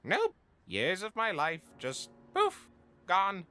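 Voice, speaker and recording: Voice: medium pitch, wildly swooping pitch, slow pace, sharp tone, full character voice. A performer voicing a cheerful, theatrical skeleton monster in a comic dub, earnest and breezily nonchalant. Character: cheerful, self-centered, theatrical simple-hearted skeleton monster. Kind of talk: comic dub character acting.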